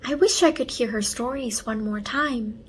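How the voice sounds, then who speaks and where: Woman playing an earnest, high-voiced big sister. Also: moderate pace, a little hiss or hum, close to an everyday voice. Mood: wistful. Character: caring young girl, protective big sister.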